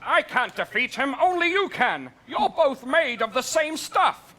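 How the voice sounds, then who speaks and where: An earnest, alarmed starship captain played by a man speaks in a very high, wildly swooping voice. The delivery is bright and exclamatory, in stage parody style, recorded live. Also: sing-song, measured pace, full character voice. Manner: exclamatory, dramatic. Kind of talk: stage parody comedy acting.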